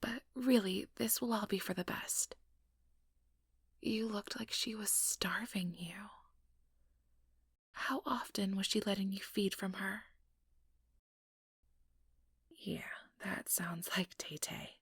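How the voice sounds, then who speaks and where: A woman playing an ambitious, sugary, manipulative vampire in an ASMR roleplay, speaking in a breathy, whispery voice with coaxing, condescending sweetness. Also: mid-range voice, takes it slowly, long pauses, no room sound, studio quality, heavily acted. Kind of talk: ASMR roleplay, soft whispered monologue to listener.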